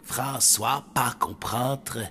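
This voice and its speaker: A performer voicing a slow-witted, eager, good-hearted cartoon monster who speaks in broken sentences about himself in the third person. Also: mid-range voice, pushed and bright, slightly rough, exaggerated voice. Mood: puzzled. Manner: broken grammar, refers to self by name. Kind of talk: French animated cartoon acting.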